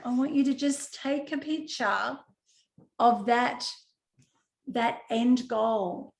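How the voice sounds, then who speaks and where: Slow, echoey woman guiding a visualization. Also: fairly high pitch, creaky, darkish tone. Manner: slow, soothing, repetitive guiding prompts. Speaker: coach leading a group visualization. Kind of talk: guided visualization. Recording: roomy echo.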